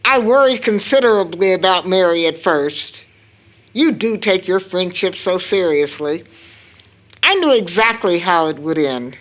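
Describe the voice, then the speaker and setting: An actress playing a caring, proper middle-aged mother in an audio drama of an early 1900s play, with an earnest, measured manner. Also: mid-range voice, fairly bright tone.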